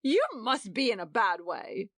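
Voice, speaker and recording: A woman's voice: a little high, expressive, unhurried, a bit bright, dry recording, studio quality, pitched above the performer's own voice, exaggerated voice. Woman doing a curt, scornful young husband. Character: contemptuous, protective young husband.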